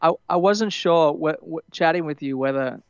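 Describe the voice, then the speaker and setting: A young man with an Australian accent softened by years in America, chatting loosely in an interview with plenty of fillers and restarts. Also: on the high side, normal speed, vocal fry, smooth, no echo, muffled recording. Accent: Australian, partly Americanized. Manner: rambling, many fillers and restarts, laughs easily. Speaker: musician guest. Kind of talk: casual interview chat.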